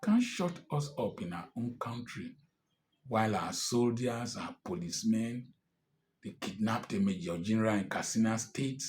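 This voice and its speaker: A man's voice: fairly low pitch, lively intonation, normal speed, darkish tone, slight background noise. A man in his sixties with a Nigerian accent, giving an impassioned political address, emphatic and defiant. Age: 60s. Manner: emphatic and defiant, rising to angry outbursts. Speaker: commentator.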